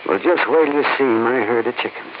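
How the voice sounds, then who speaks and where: A man's voice: medium pitch, a little fast, close to an everyday voice. Man playing a fretful folksy chicken farmer. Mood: worried. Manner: folksy, worried, exclaiming. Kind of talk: 1950s radio drama. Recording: tinny old radio broadcast with noise.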